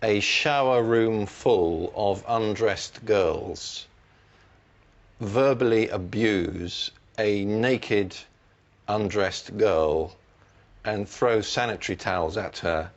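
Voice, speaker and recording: A man on a book discussion panel, giving criticism in measured, formal, deliberate phrases. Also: fairly low pitch, highs cut off.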